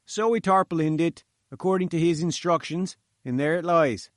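A man's voice: on the high side, normal speed, no room sound, clean studio sound, voice pushed up, close to an everyday voice. A man voicing a nervous, wary chief engineer. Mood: anxious. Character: nervous middle-aged male chief engineer.

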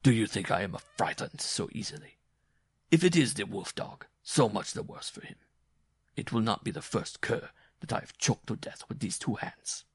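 Guy doing a big cocky circus tough guy, storybook villainy, loud sneering, gravelly. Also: lowish voice, expressive, moderate pace, no echo, exaggerated voice. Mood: angry contempt. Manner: blustering, scornful. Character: big burly bareback rider, boastful, contemptuous man.

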